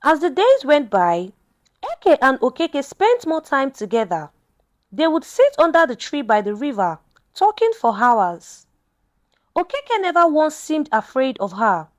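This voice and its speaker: A woman narrating a scripted folktale in a dramatic, measured storytelling manner. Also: sits high, sing-song.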